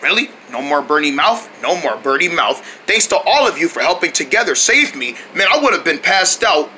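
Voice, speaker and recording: A man voicing excitable, easily panicked cartoonish characters in a comedy skit, with exaggerated, dramatic delivery. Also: high voice, fairly quick, a little hiss or hum, exaggerated voice. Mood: casual bickering.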